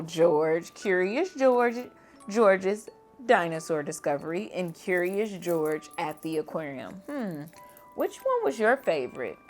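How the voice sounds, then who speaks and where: A teacher reading a children's picture book aloud at bedtime, gentle and expressive. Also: female voice, fairly low pitch.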